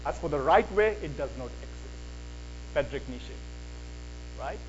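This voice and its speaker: A man with a slight Mancunian accent giving an earnest evening lecture on apologetics, pausing on "uh" and asking the audience rhetorical questions. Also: on the high side, animated, dull audio, a little hiss or hum.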